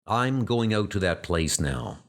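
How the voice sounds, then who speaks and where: Man playing a low, folksy small-town sheriff. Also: big pitch swings, measured pace. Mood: grim, reflective. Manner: folksy, matter-of-fact. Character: small-town sheriff recounting grim old deaths. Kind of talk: comic book dub.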